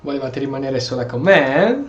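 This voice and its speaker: A man's voice: mid-range voice, lively intonation, fast. An Italian man giving casual, role-playing commentary as he plays a video game. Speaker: gameplay streamer. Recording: echoey, dull mic with game music behind. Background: video game music.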